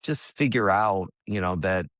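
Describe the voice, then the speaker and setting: A man with a low, deep voice and an American accent talks candidly as a podcast guest. His delivery is loose and conversational, with the odd swear word. The recording is close and dry. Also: a little slow, fairly bright tone, no echo. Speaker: podcast guest, real estate investor. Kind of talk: casual interview talk.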